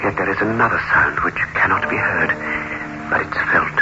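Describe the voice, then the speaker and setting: An actor playing an anxious, insistent fiancé telling a haunted tale in a dramatic, intense old radio style. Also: male voice, low voice, normal speed, very noisy, close to an everyday voice. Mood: grim, brooding. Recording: dull lo-fi old radio recording, loud music.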